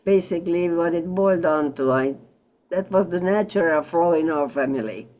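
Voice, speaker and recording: An elderly woman recalling her life in an interview, halting and reflective as she searches for words over an online call. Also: on the low side, lively intonation, darkish tone, lo-fi sound. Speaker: Holocaust survivor interviewee. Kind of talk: personal recollection in an interview. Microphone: video call.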